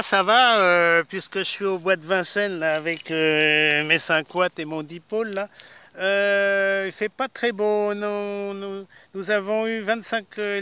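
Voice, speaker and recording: Bright, clear, high-pitched man. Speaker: amateur radio operator. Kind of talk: casual ham radio chat. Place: outdoors in a city park.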